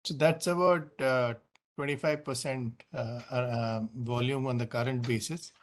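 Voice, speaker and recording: A man speaking as a company executive on an earnings call, explaining in unscripted answers with many pauses and repeated words. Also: medium pitch, fairly even intonation.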